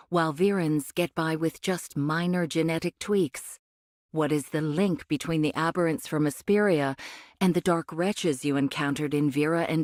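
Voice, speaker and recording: An actress playing a condescending cyborg angel of a rebel faction in an anime game, speaking in a measured, cryptic way. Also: female voice, low voice, darkish tone, dry recording, studio quality, lightly acted. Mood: cool, provoking. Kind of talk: anime game voice acting, expository dialogue.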